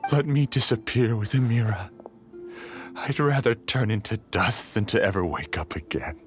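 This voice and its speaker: A bitter, grief-stricken scholar from a video game, talks slowly and dramatically. He sounds obsessive and despairing, over a bed of music. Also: on the low side. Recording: music behind the voice.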